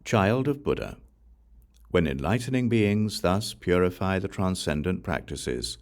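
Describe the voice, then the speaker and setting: A man reading scripture aloud as an audiobook narrator, solemn and formal, in measured phrases that fall away at the ends. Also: low voice, animated. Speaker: audiobook reader.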